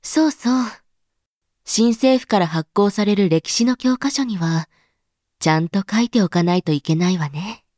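A woman's voice: medium pitch, moderate pace, mellow, no echo, studio quality, lightly acted. A woman playing a scheming, amused member of the elite in a voice drama, speaking in a haughty, teasing, knowing manner. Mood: smug, scheming. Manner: teasing, haughty, feminine speech with knowing asides. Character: scheming, amused elite woman who secretly engineered a revolution. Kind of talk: voice drama monologue.

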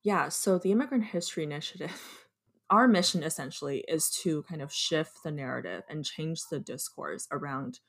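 Low, gravelly-voiced woman explaining. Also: lively intonation, normal speed, fairly bright tone. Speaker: guest. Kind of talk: explaining an organization's mission.

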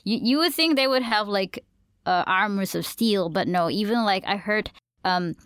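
A woman's voice: mid-range voice, animated, normal speed, a bit bright, smooth, dry recording, studio quality. A woman from Malaysia who is a voice actor, chatting casually as a podcast co-host and often restarting her sentences with fillers. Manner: rambling with many fillers and restarts. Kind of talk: casual chat.

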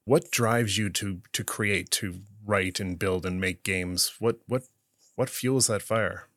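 A man's voice: lowish voice, takes it slowly, fairly bright tone, no room sound, clean studio sound. A man hosting an interview podcast, asking questions in an easygoing way with short replies in between. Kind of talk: casual interview conversation.

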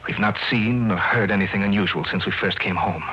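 An actor playing a haunted husband who keeps a diary of dread in an old-time radio drama. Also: male voice, lowish voice, a little fast, a little hiss or hum, close to an everyday voice. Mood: somber, weary. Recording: muffled old radio recording with music.

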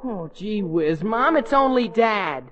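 A muffled vintage radio recording of a teenage son. He is groggy and a bit whiny, drawing his words out very slowly in old comedy style. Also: sits high, lively intonation, a bit bright, heavily acted. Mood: sleepy, exasperated. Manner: drowsy, drawn-out, exasperated. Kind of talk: 1940s radio comedy acting. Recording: muffled 1940s radio broadcast.

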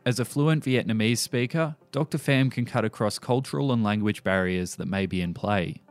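A man's voice: fairly low pitch, moderate pace. Male podcast narrator over music. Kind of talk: scripted narration.

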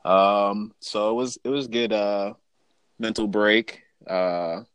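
A man's voice: lowish voice, steady tone, takes it slowly, a bit bright, smooth, no room sound. A young man hosting a casual podcast, laid-back and joking, with slangy, easygoing talk. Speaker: podcast host.

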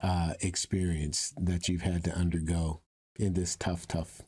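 A man interviewing a guest conversationally, speaking in an encouraging, deliberate way. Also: deep voice, little pitch movement, mellow, breathy edge.

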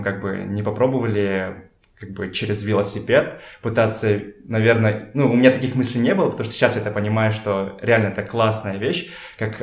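A young man from Kazakhstan with a fairly low voice chats as a guest in an echoey room at home. He tells his stories informally, with slangy words, working out his thoughts as he goes. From Kokshetau, Kazakhstan. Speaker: podcast guest, photographer and art director. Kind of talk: casual conversational storytelling. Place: indoors in a home.

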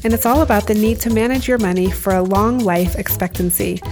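A woman's voice: mid-range voice, fairly quick, a little mellow, soft background music. A woman hosting a podcast, asking interview questions and reading announcements in an upbeat way. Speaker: podcast host. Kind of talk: interview and sponsor reads.

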